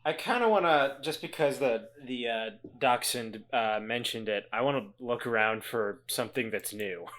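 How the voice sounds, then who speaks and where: A man's voice: medium pitch, normal speed, fairly bright tone. A man playing in a tabletop game, speaking hesitantly with restarts and a wry, joking edge. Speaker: player. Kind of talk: casual tabletop play chat.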